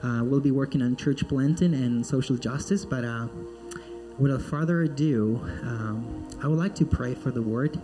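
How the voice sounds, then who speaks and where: A man's voice: medium pitch, normal speed, music in the background. A man from Peru, a missionary speaking in church in a friendly way and leading prayer. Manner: friendly and joking, then earnest in prayer. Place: church sanctuary.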